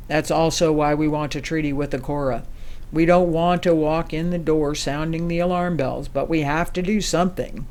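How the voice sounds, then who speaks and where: A man playing a composed, candid ambassador in a science fiction drama, speaking in a measured, diplomatic way. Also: fairly high pitch, clean tone, close to an everyday voice. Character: composed, candid senior ambassador leading a secret space mission. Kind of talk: acted dialogue.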